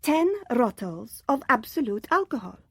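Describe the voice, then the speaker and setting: An actress playing a sharp, composed young secretary in a radio drama, speaking precisely and in a businesslike way. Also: female voice, on the high side, very animated, normal speed, slightly rough, no echo, studio quality, full character voice. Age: young adult.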